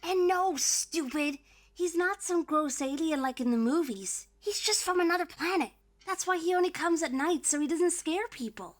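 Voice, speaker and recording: A woman voicing a bossy, excitable young mouse child in an audio drama, talking in an impatient, emphatic way. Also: very high voice, moderate pace. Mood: bossy, hushed. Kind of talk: audio drama cartoon acting.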